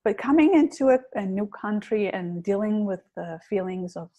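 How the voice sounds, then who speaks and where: A woman's voice: mid-range voice, animated, normal speed, dark tone. A woman with a heavy Greek accent, a public speaking coach being interviewed on a podcast, talking in an earnest, reflective way with some hesitations. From Greece.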